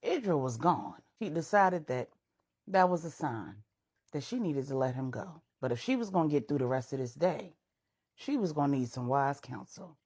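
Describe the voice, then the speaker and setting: A woman's voice: low voice, breathy edge. A woman storyteller narrating serial fiction in a dramatic style.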